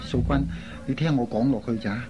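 A man's voice: mid-range voice, brisk, natural voice. An actor playing an earnest, concerned airline crewman in a radio drama. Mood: serious recounting. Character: earnest, concerned man, a flight crew colleague. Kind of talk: Cantonese radio drama acting. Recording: dull lo-fi recording, faint noise.

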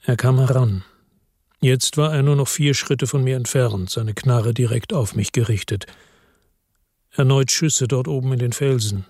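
An actor playing a weary hard-boiled man who narrates his own crime story in a radio drama, terse, brooding and husky. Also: medium pitch, a little slow, a little mellow, no room sound, clean studio sound. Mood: grim, tense.

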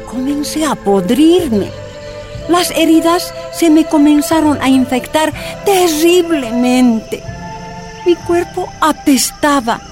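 A woman acting as a suffering activist from a mining family, giving an intense first-person testimony in a radio drama. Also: high-pitched. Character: suffering miners' activist recalling her near death.

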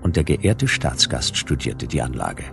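An actor playing a laconic hard-boiled detective, narrating his case in a dry, deadpan way for a German radio play. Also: very deep voice, measured pace, vocal fry, heavily acted. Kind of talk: noir first-person radio play narration. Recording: music bed under the voice. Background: music.

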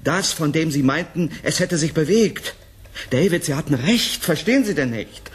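An actor playing an agitated, insistent scientist at a space colony in a 1980s German radio play, speaking with dramatic emphasis. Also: male voice, a little high, lively intonation, moderate pace, natural voice. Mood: urgent, insistent.

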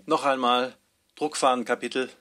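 Male announcer, medium pitch, brief scripted section titles, music behind. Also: level tone, normal speed, bright, forceful tone. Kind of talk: short scripted radio play announcements.

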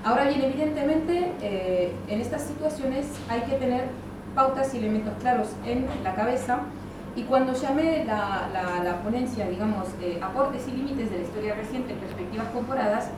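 A woman from France giving a university lecture in Spanish with a mixed French, Spanish and Buenos Aires accent, hesitant with many fillers and wry asides. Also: a little high. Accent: mixed French-Spanish with a touch of Buenos Aires. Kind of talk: academic lecture to an audience. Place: large lecture hall. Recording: echoey hall from far off, audible room noise.

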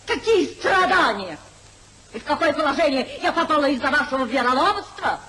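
High-pitched society lady. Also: lively intonation, normal speed, crowd noise. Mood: outraged. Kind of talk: 1950s theatrical radio comedy acting. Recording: noisy, hissy 1950s radio recording.

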